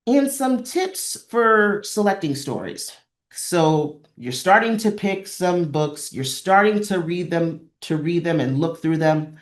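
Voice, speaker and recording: Lower-pitched woman leading a training webinar. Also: lively intonation, slow pace. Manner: encouraging, explanatory, repeats phrases for emphasis. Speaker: presenter of a librarian training session. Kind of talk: instructional webinar talk with examples.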